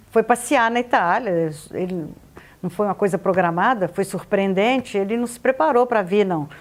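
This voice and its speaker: A woman's voice: medium pitch, darkish tone. A woman interviewed about a relative, telling the story in a narrative way. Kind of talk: casual recollection.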